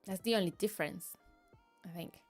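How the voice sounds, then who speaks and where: A woman's voice: fairly low pitch, moderate pace, darkish tone, soft background music. A woman streamer, chatting casually and giggling often, restarting her sentences. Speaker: VTuber streamer. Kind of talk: casual chat reading live chat.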